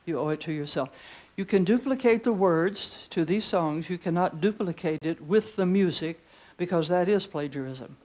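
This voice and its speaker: An older woman with a deep voice leading a chatty preaching workshop in a conference room, reading hymn lyrics slowly. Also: lively intonation, darkish tone. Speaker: pastor teaching preachers. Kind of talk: informal preaching workshop talk. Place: conference room with seated audience.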